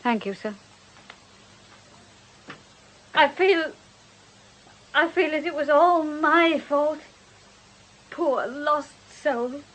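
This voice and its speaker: Devout, bitter old nanny. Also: female voice, high voice, takes it slowly, a bit bright, low-quality recording. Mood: guilty, grieving. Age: older. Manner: fervent, righteous, old-fashioned servant's deference. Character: devout, bitter, grieving elderly former nanny turned housekeeper. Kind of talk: radio drama acting.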